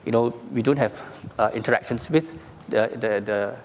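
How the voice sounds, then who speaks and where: Guy from singapore giving a conference talk, mid pitch, lots of uhs and all right?, big echoey hall. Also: moderate pace. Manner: explanatory, with frequent fillers and tag questions. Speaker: conference speaker. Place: conference stage in a large hall.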